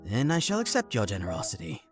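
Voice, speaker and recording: A man's voice: on the low side, huge pitch swings, quick pace, over music. A man playing a stern, guarded monastery official in a comic dub, speaking earnestly in formal, old-fashioned phrases. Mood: formal, composed. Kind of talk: dramatic voice acting for a comic dub.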